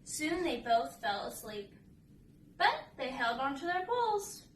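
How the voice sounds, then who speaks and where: A woman's voice: high voice, sing-song, a little slow. A woman reading aloud and talking casually as a children's storybook reader. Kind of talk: children's read-aloud narration and casual chat. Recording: roomy, distant mic with faint noise.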